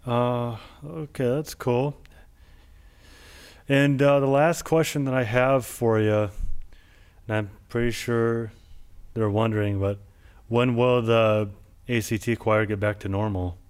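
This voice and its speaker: A man's voice: mid-range voice, steady tone, slow pace, pauses often, clear, resonant tone. A man hosting an interview show, talking casually and reminiscing in long, rambling sentences full of "like". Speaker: show host.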